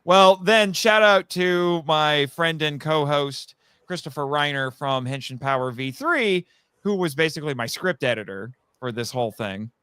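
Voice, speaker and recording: A man's voice: fairly high pitch, moderate pace, fairly bright tone, clean tone, no echo. A man talking casually and eagerly as a guest on a fan podcast, explaining things at rambling length. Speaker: podcast guest, audio drama writer. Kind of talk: animated casual fan discussion.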